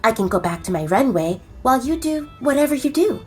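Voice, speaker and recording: A woman's voice: sits high, expressive, brisk, darkish tone, soft background music. A woman voicing a blunt, confident young she-wolf fashion model in an audio drama, speaking directly and persuasively.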